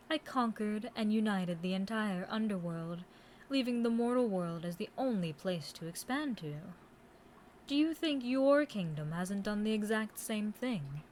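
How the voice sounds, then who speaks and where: A seductive demon queen acted by a woman speaks slowly and grandly in an audio roleplay. She is haughty, scheming and taunting, every phrase delivered with deliberate villainous relish. Clear noise sits behind the voice. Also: medium pitch, a little mellow, natural voice. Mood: proud, disdainful. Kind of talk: scripted roleplay audio drama monologue.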